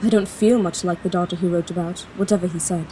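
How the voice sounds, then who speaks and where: A woman's voice: fairly low pitch, fairly even intonation, quick pace, noisy background, close to an everyday voice. A woman acting in an audio drama as a formal, self-doubting young princess with an earnest manner. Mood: grieving, adrift.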